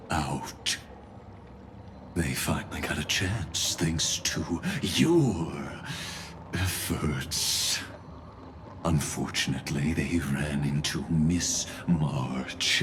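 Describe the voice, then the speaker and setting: An actor voicing a sinister, eloquent scholar-schemer in a game dub, speaking with theatrical, condescending courtesy and deliberate phrasing. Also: male voice, very deep voice, heavily acted. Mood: calm, ominous. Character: sinister, eloquent, amused male scholar-schemer of a doomed world. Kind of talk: English game dub voice acting.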